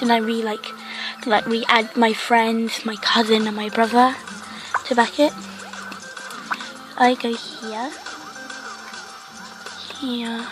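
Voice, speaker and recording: A woman hosting a casual game commentary, rambling with lots of fillers and a croaky voice from a cough. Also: fairly high pitch, poor audio. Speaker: gaming channel host.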